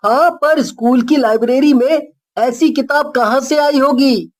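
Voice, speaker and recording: A woman's voice: high-pitched, unhurried. A woman voicing an earnest, polite young schoolboy in a cartoon story. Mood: curious. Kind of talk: Hindi cartoon story character voice.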